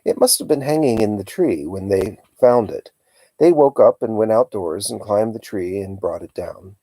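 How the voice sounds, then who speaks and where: A man reading as a thoughtful girl, husky. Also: lowish voice, normal speed, mellow, no echo, rough recording. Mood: reasoning. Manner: reasoning things out aloud. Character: thoughtful, brainy young girl in a storybook.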